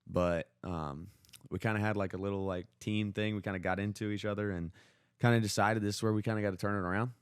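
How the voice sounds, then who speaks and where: A young man with a low voice, recorded close and dry. He talks casually as a podcast co-host, retelling sports stories to friends in slangy, stop-and-start phrases. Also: fairly even intonation, smooth, no room sound. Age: young adult. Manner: informal, slangy, halting retelling.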